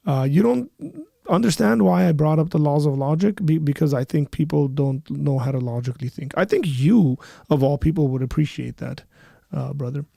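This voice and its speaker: A man co-hosting a casual livestream, reading viewer comments aloud with playful banter, his voice a little raspy. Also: on the high side, normal speed, mellow, no room sound, clean studio sound. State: slightly raspy from caroling. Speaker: livestream co-host reading chat.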